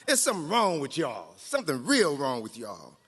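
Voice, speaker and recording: A man acting out a jeering, high-pitched barnyard chicken. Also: wildly swooping pitch, normal speed, fairly bright tone, husky, big-room sound, heavily acted. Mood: mocking, jeering. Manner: taunting, laughing, colloquial. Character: mocking, jeering barnyard chickens picking on baby eagles.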